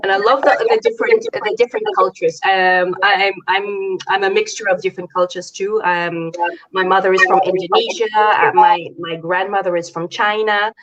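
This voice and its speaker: A woman's voice: medium pitch, fairly even intonation, moderate pace, highs cut off, a crowd in the background. A woman hosting a casual live interview over a video call, chatty and hesitant with many fillers. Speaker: host. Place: home, early morning.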